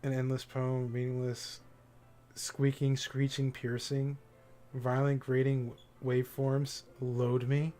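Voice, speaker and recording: A man's voice: medium pitch, completely flat delivery, slow, mellow. A man livestreaming a visual novel, casually commenting and reading the game text aloud, with game music behind him.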